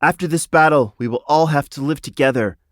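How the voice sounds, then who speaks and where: A man's voice: a little high, brisk, dry recording, studio quality, voice pushed up, natural voice. A man voicing a decisive, compassionate female animal healer who gives orders in a children's fantasy story. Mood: firm, grave.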